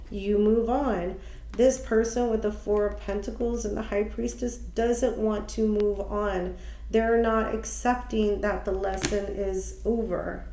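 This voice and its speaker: A woman with a slightly nasal, stuffy voice talks through a tarot reading in a casual, musing way. She speaks very slowly and trails off with ums and restarts. The room sounds echoey, with a faint hiss behind her. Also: mid-range voice, warm tone. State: stuffy from allergies. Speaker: tarot reader.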